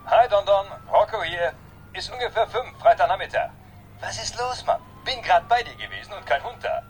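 An actor playing a brash, slangy, jokey buddy leaving answering machine messages in a radio play. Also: male voice, high voice, expressive, moderate pace, a little hiss or hum, low-quality recording. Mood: nosy, teasing. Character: brash, slangy New York buddy, cool guy.

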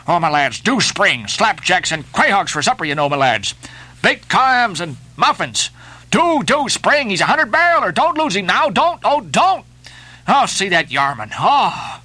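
A man puts on a frantic whaling mate in a storybook tale, his pitch far up and his tone sharp. He begs greedily, repeating himself in excited cries. The sound is very dry. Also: unhurried, no room sound, exaggerated voice. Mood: frantic pleading. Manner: breathlessly begging, exclamatory, repetitive. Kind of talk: storybook character voice in a novel reading.

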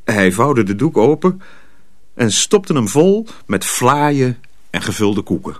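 A man's voice: mid-range voice, sing-song, slow pace. A man narrating a radio drama as a scripted storyteller.

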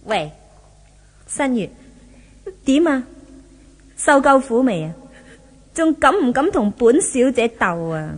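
A woman's voice: high voice, very animated, takes it slowly, long pauses, full character voice. A woman playing a spiteful, imperious young rich villainess in a 1970s Hong Kong Cantonese radio drama. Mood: cruel gloating. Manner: taunting, haughty, theatrical.

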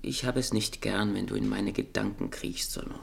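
An actor playing a proud, brooding intellectual and irritable lover in a German radio play, dry, clipped and sardonic, with a gravelly voice. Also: male voice, fairly low pitch, fairly even intonation, normal speed, heavily acted. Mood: dry, irritated.

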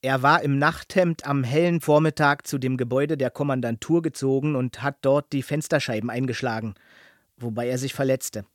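Male documentary narrator, even read, dry studio sound. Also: mid-range voice, normal speed, no room sound.

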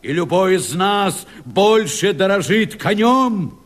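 Battle-worn cossack, defiant and edgy, theatrical verse, really slow but swinging all over in pitch. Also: male voice, expressive. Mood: defiant, proud. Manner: vehement, theatrical declamation with heavy stresses. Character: proud, battle-hardened Cossack fighter, male, defiant, anxious. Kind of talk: declaimed verse radio drama.

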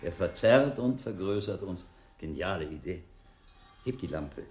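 A learned monk mentor in a radio drama, calm and wryly amused. His lowish voice echoes in the room as he quietly instructs, with faint music behind. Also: measured pace. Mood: wry, hushed. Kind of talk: 1980s German radio drama acting.

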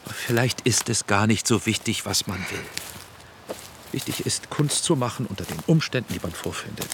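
A man's voice: lowish voice, moderate pace, background noise, lightly acted. An actor playing a proud, defensive film director who justifies his choices in a radio drama. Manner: animated, self-justifying.

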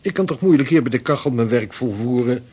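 A solemn, authoritative explorer of centuries past in a radio drama. He is devout and driven, speaks formally and has an ordinary middle-pitched voice. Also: animated, brisk, a little mellow, slightly rough. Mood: earnest, determined. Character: driven, devout 16th-century male Dutch Arctic explorer. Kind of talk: historical radio play acting.

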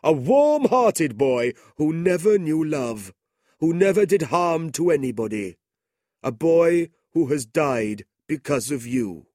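A man plays a kindly old merchant turned stern and reproachful, speaking high and with feeling. The sound is dry and close. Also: very animated, slow pace, darkish tone, dry recording. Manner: reproachful, raised voice.

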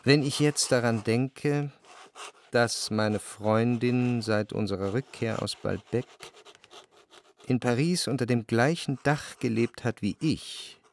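Measured, introspective male narrator. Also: medium pitch, no echo, clean studio sound. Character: reflective, melancholic male narrator recalling his past life. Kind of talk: literary radio drama narration.

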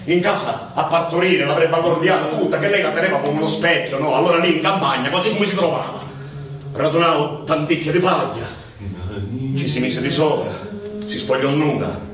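A man's voice: fairly high pitch, fairly bright tone. Echoey, distant man telling a dramatic tale. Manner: dramatic, building repetitions into drawn-out, chanted cries. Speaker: stage storyteller, actor. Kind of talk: theatrical narrative monologue in live performance. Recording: very echoey, distant microphone, noisy ambience.

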